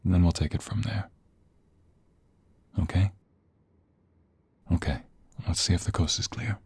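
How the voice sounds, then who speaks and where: A man playing a tender, protective mobster fiancé in an ASMR roleplay, hushed and intimate, reassuring the listener in a breathy murmur. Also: low-pitched, unhurried, exaggerated voice. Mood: hushed, focused. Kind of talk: ASMR roleplay audio drama.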